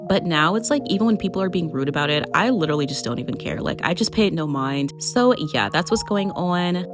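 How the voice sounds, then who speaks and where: A young woman with a tired, raspy voice, chatting candidly and rambling as a nail tech vlogger, full of "like" and "you know.". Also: lowish voice. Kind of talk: casual chatty voice-over.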